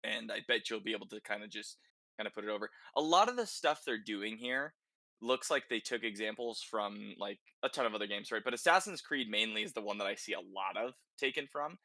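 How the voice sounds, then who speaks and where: A man's voice: lowish voice, expressive, fairly quick, bright, forceful tone, no echo. A man hosting a casual, conversational podcast discussion about video games, often checking in with short tag questions. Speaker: podcast host.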